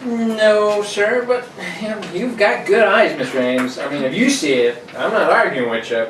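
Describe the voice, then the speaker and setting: A woman's voice: mid-range voice, lively intonation, normal speed, voice pushed up, close to an everyday voice. A woman playing a superstitious, deferential maid with a folksy manner in a radio play reading. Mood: reluctant, doubtful. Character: plain-spoken superstitious maid, deferential and doubtful. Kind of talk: radio play read aloud. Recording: roomy, noisy far microphone.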